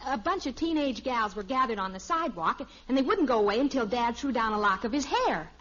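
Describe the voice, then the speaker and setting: A woman's voice: on the high side, sing-song, fairly quick, fairly bright tone. An actress playing a breezy, wisecracking American teenage girl in a 1950s radio sitcom, slangy and wry. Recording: muffled old radio recording.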